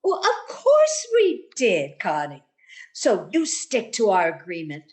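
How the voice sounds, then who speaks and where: A woman's voice: mid-range voice, huge pitch swings, takes it slowly. A woman playing a motherly older landlady in a radio sitcom, wheedling and guilt-tripping with martyred self-effacement.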